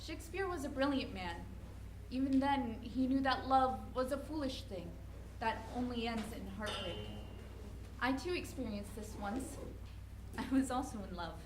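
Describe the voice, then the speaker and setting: A woman's voice: on the high side, animated, normal speed, natural voice. A woman playing a naive, insecure seventeen-year-old girl in a reader's theater stage play. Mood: wistful, reflective. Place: indoor event room at a college presentation. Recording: distant echoey room, noisy live recording.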